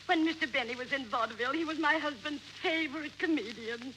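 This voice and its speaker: A woman's voice: high-pitched, quick pace, sharp tone. A woman playing a fussy, sentimental old lady in 1940s radio comedy, with a theatrical, folksy manner. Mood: sentimental, tearful. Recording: noisy old radio recording.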